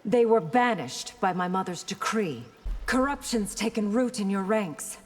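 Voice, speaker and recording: An actress playing a dutiful princess and military commander in a fantasy video game, speaking formally and with poise. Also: female voice, medium pitch, expressive, a little slow, lightly acted. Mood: formal, serious. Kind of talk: video game dialogue acting. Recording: long hall reverb, noisy game backdrop.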